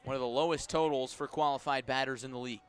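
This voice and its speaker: An American man doing live radio baseball commentary, descriptive and clipped, with phrases that quicken and lift on the action. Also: mid-range voice, fairly even intonation, clear, resonant tone. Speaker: baseball play-by-play announcer. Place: outdoor baseball stadium at night.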